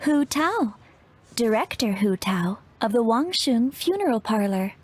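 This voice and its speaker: A friendly townswoman in a game. Her voice sits fairly high and sounds easygoing and conversational, with faint music behind. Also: very animated, measured pace. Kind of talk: video game voice acting.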